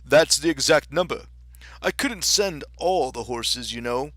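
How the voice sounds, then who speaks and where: Guy doing a blustery old king from a kids storybook, excitable, bright and forceful, kinda self important. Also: medium pitch, a little slow, dry recording. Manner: blustering, emphatic, declaiming.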